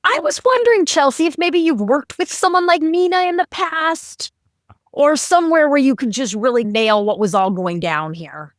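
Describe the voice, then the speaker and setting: High-pitched, animated woman podcast host. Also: moderate pace, no echo, studio quality. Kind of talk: casual interviewing.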